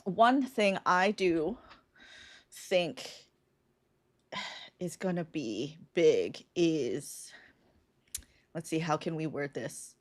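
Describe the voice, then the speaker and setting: A woman's voice: deep voice, animated, extremely slow, long pauses, dry recording. A woman co-hosting a podcast, thinking aloud casually with many fillers. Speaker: podcast co-host. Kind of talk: casual live podcast brainstorm.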